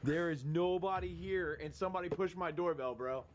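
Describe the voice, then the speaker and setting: A man investigating a haunted house, talking in excited, startled bursts. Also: a little high, moderate pace, slight background noise. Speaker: paranormal investigator. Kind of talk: ghost-hunting video talk.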